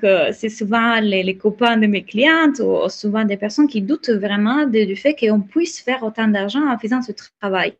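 A woman from Italy with an Italian accent, a coach speaking slowly and haltingly in a casual interview, with many restarts. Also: mid-range voice, muffled recording. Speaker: business and life coach, guest.

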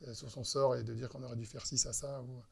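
A man in his thirties from France, a guest on a relaxed podcast. He speaks hesitantly, full of pauses, fillers and restarts. His voice sits at an ordinary middle pitch. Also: vocal fry, breathy edge. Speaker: entrepreneur guest. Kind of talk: casual podcast interview.

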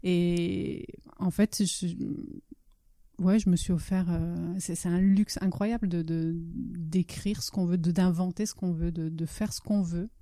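A native Italian-speaking woman from the Naples region, talking casually as an interviewed author, halting and often rephrasing. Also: lowish voice, fairly even intonation, dark tone, no echo, clean studio sound. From Naples region, Italy. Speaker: author and blogger being interviewed.

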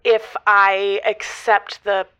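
A woman acts as a radio caller in her twenties, fresh out of college, with a medium-pitched voice. She talks in a hesitant, rambling way and often corrects herself. The delivery is casual and conversational, like an improvised phone-in drama. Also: level tone, slow, pushed and bright, smooth. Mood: sheepish, chatty. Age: 20s. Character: Amelia, hesitant young female graduate phoning for advice.

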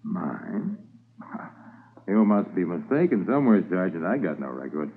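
An actor playing an evasive, folksy farmer feigning innocence in a 1950s radio drama. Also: male voice, low-pitched, very animated, a little slow, heavily acted. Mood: feigned innocence. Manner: folksy, laconic. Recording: noisy, tinny 1950s radio recording.

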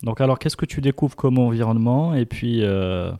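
A man's voice: mid-range voice, lively intonation, moderate pace, warm tone, dry recording, studio quality. A man interviewing a guest in a conversational way, hesitant and full of short back-channel replies.

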